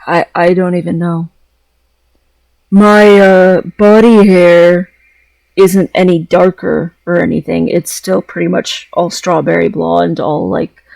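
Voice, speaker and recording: Creaky-voiced man rambling casually. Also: high-pitched, a little mellow, no echo, rough recording. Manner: hesitant, repeats phrases, trails off mid-thought. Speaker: vlogger. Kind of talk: casual rambling personal vlog.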